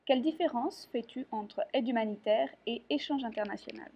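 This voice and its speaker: Female interviewer, husky voice, plain question reading; dull lo-fi sound. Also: a little high, a little fast. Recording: dull lo-fi recording.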